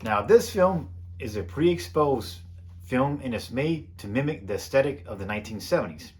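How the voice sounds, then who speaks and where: A middle-aged American man reading a scripted voice-over in a measured, plain explanatory way, as the host of a photography video. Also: mid-range voice, expressive, a little mellow, breathy edge. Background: background music.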